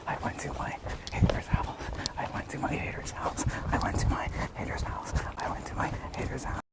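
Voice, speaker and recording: A man's voice: normal speed, whispered. A man chatting casually on a livestream, hesitant and repeating himself as he talks. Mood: whispering. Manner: hesitant, repetitive, mixes English and Japanese. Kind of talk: casual livestream chat with viewers.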